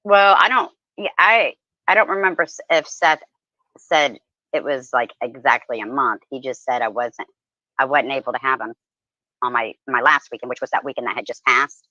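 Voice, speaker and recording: Bright, dry-sounding panel guest. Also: mid-range voice, moderate pace, no echo, muffled recording. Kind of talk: casual panel discussion.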